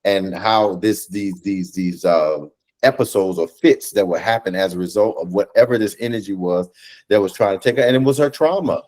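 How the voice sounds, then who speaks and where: An American man in his thirties speaking earnestly as an interview guest on a video call, halting and repeating phrases for emphasis. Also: low voice, a little mellow. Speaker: guest, stage play cast or crew member. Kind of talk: reflective conversational interview. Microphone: video call microphone.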